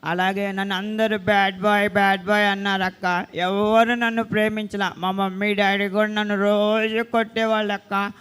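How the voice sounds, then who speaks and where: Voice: mid-range voice, flat delivery, moderate pace, hardly any pauses, a bit bright, clean tone, close to an everyday voice. A performer voicing an eager, insistent little reformed troublemaker boy puppet in a children's church show. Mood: confessing. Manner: eager, insistent, calling out to elder sister.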